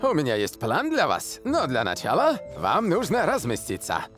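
A man's voice: on the high side, wildly swooping pitch, moderate pace, fairly bright tone, faint music behind. A man voicing a scheming middle-aged sheikh in a cartoon dub, with a grandiose, theatrical, slyly evasive delivery. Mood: grand, welcoming. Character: scheming, showy middle-aged male sheikh hotel host. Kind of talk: animated cartoon dubbing.

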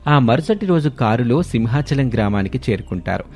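A man's voice: mid-range voice, expressive, fairly quick, darkish tone. A man narrating a scripted family moral story as a storyteller. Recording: background music under voice. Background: music.

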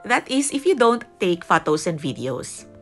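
A woman's voice: lowish voice, very animated, normal speed, faint music behind. A woman giving scripted narration as a travel vlogger.

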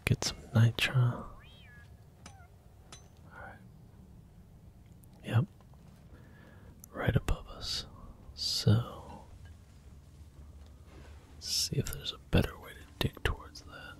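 A man softly talking through a video game in whispered ASMR style, slow and gentle. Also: mid-range voice, wildly swooping pitch. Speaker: ASMR gaming channel host. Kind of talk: soft-spoken ASMR game commentary.

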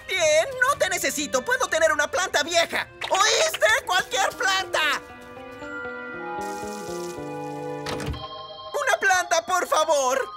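A woman voicing an anxious, excitable young male squirrel in a dubbed cartoon, melodramatic and pleading. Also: extremely high pitch, full character voice. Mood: desperate, defiant. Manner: melodramatic, exclaiming. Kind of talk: cartoon dub acting. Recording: loud music under the voice.

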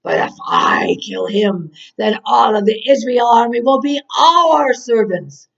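A woman voicing a menacing, boastful male giant who shouts taunting challenges. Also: fairly low pitch, steady tone, measured pace, creaky, pitched below the performer's own voice. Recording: dull, distant-mic recording.

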